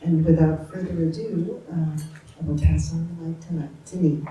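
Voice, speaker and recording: A woman's voice: deep voice, steady tone, normal speed. A woman hosting an event, introducing the moderator in a hesitant way with many fillers. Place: community arts center event room. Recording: echoey room, distant mic, faint noise.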